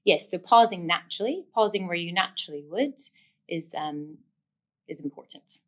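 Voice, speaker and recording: Low-voiced woman giving encouraging instruction. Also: expressive, normal speed. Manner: encouraging, affirming, explanatory. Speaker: speech therapist giving instruction. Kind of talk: instructional demonstration, explaining step by step.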